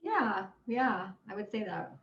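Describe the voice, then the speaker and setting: A woman's voice: mid-range voice, very animated, a little slow, dull audio. A woman speaking as an interview guest, answering in an upbeat, earnest, conversational way. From Houston, Texas, United States. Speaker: guest, executive and life growth coach. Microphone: video call.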